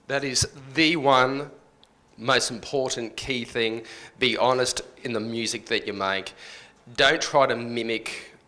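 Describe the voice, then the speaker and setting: Low, bright-edged man, emphatic. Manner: emphatic, repeats key phrases. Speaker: music industry panellist. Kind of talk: conversational panel advice.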